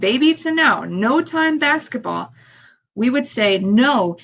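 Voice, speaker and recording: A woman who is a native English speaker, teaching language learners step by step in a deliberate way, repeating key phrases and posing questions. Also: mid-range voice, creaky, a bit bright, husky, rough recording. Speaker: ESL teacher.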